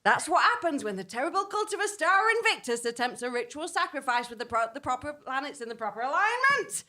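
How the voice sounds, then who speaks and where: Comic audio-drama chicken, once human. Brash, theatrical, piercingly bright and high. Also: female voice, sing-song, fairly quick, heavily acted. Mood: proud, brash. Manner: exclamatory, declaiming with theatrical relish. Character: proud, brash talking chicken, formerly a human woman.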